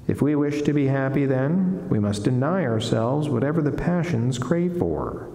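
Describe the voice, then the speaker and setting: A middle-aged man, a friar, giving a solemn devotional reading in a measured, deliberate, formal way from a lectern in a reverberant chapel. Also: mid-range voice, sing-song, dark tone. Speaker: friar reading a saint's life and reflection. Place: wood-panelled chapel, indoors. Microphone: lectern gooseneck microphone. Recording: echoey chapel with long reverb.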